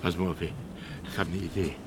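An actor playing a gruff, grumbling village man in a radio drama, blunt and colloquial as he takes charge. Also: steady tone, quick pace, close to an everyday voice. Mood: practical. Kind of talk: German radio drama acting. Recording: noisy windy outdoor ambience, rumble.

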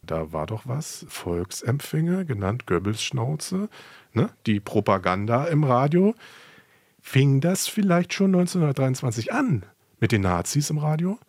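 A man's voice: mid-range voice, sing-song, unhurried, darkish tone, dry recording, studio quality. A man co-hosting a history program in a colloquial, questioning and emphatic conversational manner. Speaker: co-host of a history podcast. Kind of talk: conversational radio commentary.

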